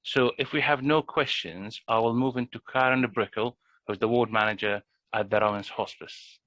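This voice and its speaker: A man's voice: medium pitch, fairly even intonation, normal speed, a bit bright, no echo, low-quality recording. A man, a GP, explaining a benefits form in an online workshop, with many fillers and restarts. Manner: hesitant, frequent restarts and fillers. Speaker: GP presenter. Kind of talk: explanatory presentation.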